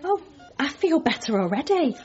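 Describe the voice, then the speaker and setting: Swooning, stilted adoring patient, radio comedy; soft dark tone, slightly muffled recording. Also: female voice, high-pitched, big pitch swings, normal speed. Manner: stilted, gushing melodrama. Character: breathy, adoring fantasy patient, melodramatically smitten. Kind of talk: radio comedy fantasy scene.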